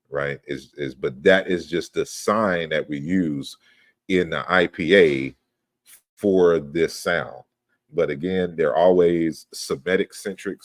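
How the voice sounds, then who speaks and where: A man's voice: rumbling low, dry recording, studio quality. A man giving an online lecture over slides, explaining step by step and often checking in with "right?". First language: not Yoruba. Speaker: lecturer on language history.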